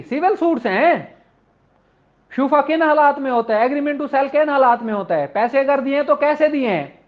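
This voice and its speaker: Male lecturer, Pakistani accent, strikingly high pitch, earnest and exhorting teaching style, dull low-fidelity recording. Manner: earnest, exhorting, rhetorical questions. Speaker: law lecturer. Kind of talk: explanatory lecture to law students, with religious exhortation. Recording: dull lo-fi audio.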